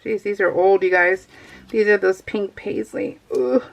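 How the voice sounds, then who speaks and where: A woman's voice: medium pitch, measured pace, gravelly, lo-fi sound. A middle-aged American woman chatting casually and informally as she narrates her craft project, in a rambling, self-deprecating way. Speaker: crafting vlogger. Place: indoors at a craft table, voiced live while working.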